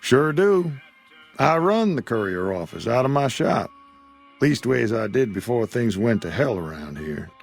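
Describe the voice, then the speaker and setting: A man's voice: medium pitch, very animated, a little slow, faint music behind. A man voicing a weary old frontier shopkeeper who talks in a drawl. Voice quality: gravelly drawl. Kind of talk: video game dialogue.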